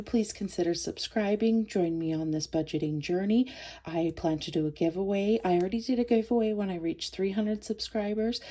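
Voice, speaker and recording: A woman's voice: medium pitch, dark tone. A woman with a stuffed-up nose chatting casually as a budgeting vlogger, counting cash aloud as she goes. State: stuffed up from a cold.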